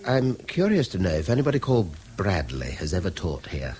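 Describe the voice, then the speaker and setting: Middle-aged british schoolmaster, educated and testy, very lively intonation, old radio drama. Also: male voice, low-pitched, a little fast. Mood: inquiring. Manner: articulate, testy. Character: proud, irascible educated schoolmaster, an older man. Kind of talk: 1970s radio drama acting.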